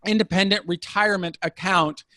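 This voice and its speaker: High-voiced inquisitive man in his fifties. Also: steady tone, fairly quick, bright, forceful tone, dry recording, studio quality. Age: 50s. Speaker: interviewer asking about retirement investing. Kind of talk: conversational interview.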